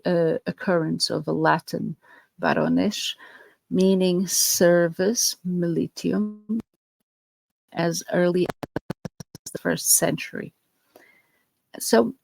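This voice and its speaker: Brief: Portuguese-accented woman podcast host, slow and earnest, low, dry close recording. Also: gravelly, no room sound. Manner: earnest, explanatory, with fillers and restarts. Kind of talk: podcast monologue.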